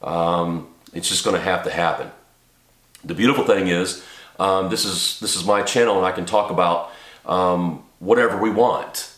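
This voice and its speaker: A man with a very low voice talks to camera, a little stuffed up from a cold. He rambles earnestly, with lots of ums and restarts. The room around him is echoey. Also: moderate pace, fairly bright tone. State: congested from a cold. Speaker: channel host and small business owner. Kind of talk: casual talk to camera. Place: home office.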